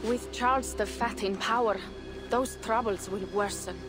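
Actress as young female Norse warrior for game cutscenes; higher register, earnest, bold and persuasive. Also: steady tone, unhurried, music in the background, natural voice. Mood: grave, urgent. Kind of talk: video game cutscene acting.

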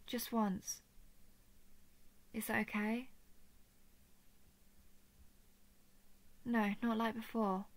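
A woman playing a lovestruck female dragon, possessive yet tender and remorseful, speaking softly and hesitantly with breathy whispers in an intimate roleplay audio. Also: fairly high pitch, dry recording. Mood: tender, relieved. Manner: soft, hesitant, often dropping into breathy whispers.

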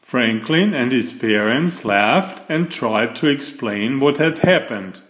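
A man reading a children's picture book aloud as an expressive storyteller with deliberate phrasing. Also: mid-range voice, roomy sound. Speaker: storybook reader.

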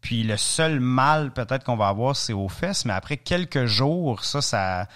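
This is a man in his thirties with a Franco-Ontarian French accent and a middle-pitched voice. He talks as a podcast guest, chatty and joking, and he often stops on fillers as he tells his stories. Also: expressive, measured pace, a bit bright. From Eastern Ontario, Canada. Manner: chatty, joking storyteller with many hesitations. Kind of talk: casual podcast interview.

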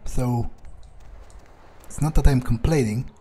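A man's voice: medium pitch, slow pace, mellow, slightly rough. A man thinking aloud in character during a video game playthrough, in a hesitant, musing way. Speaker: gamer role-playing in character.

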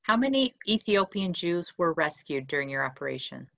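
Woman reading out viewer questions, lowish but bright voice, matter of fact. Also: sing-song, a little fast, creaky, dull audio. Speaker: moderator reading audience questions.

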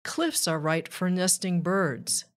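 A woman reading a rhyming children's book aloud with measured, deliberate phrasing. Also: fairly low pitch, sing-song. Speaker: children's author and narrator.

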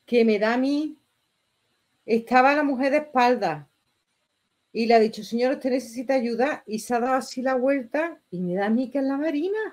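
High-voiced, expressive woman from Spain. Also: normal speed. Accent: Spanish from Spain. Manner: expressive, exclamatory, gesturing. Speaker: reaction video host. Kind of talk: casual reaction commentary. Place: small bedroom indoors.

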